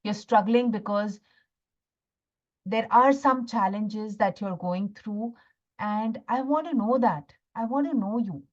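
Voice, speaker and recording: A woman's voice: mid-range voice, takes it slowly, a little mellow, muffled recording. A woman with an Indian accent hosting a live online motivational masterclass, repeating phrases for emphasis and checking in with her listeners. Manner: repeats phrases for emphasis, seeks agreement with "right?". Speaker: workshop host and healing coach.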